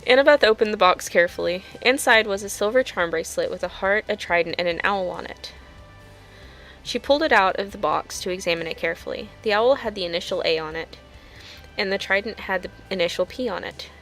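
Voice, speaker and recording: A woman's voice: medium pitch, a little fast, a bit bright. Casual woman with music behind her. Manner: self-deprecating, informal asides. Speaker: YouTuber reading own fanfiction. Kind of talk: casual storytelling and video chat.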